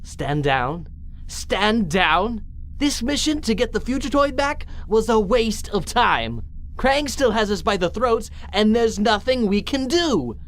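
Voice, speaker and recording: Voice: medium pitch, sing-song, slow pace. An actor playing a hot-headed young resistance soldier with a dramatic, bitter delivery. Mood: furious. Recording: faint background noise.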